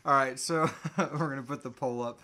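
A man's voice: medium pitch, steady tone, normal speed, heavy background noise. A man in his thirties chatting casually and jokingly as co-host of a live Q&A. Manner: jokey, playful. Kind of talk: casual chat. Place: small room indoors, on a couch.

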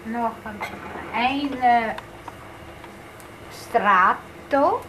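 Slow-spoken middle-aged woman, home cook. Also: on the high side. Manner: halting, mixes languages. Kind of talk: casual cooking demonstration. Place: small home kitchen, indoors. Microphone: camera microphone at a little distance. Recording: noisy kitchen, camera mic. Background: kitchen cooking noise.

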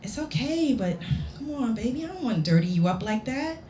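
A woman's voice: fairly high pitch, sing-song, fairly quick, dark tone, voice pushed up. A woman voicing a smitten, flirtatious man in a live story reading, tender and intimate. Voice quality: gravelly. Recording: roomy live venue recording with background noise.

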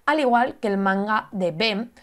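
Woman, thirties, medium pitch, informal, chatty news co-host. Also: expressive, measured pace, fairly bright tone. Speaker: co-host of a manga and anime news show. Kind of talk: casual conversational news chat. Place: home room on a video call.

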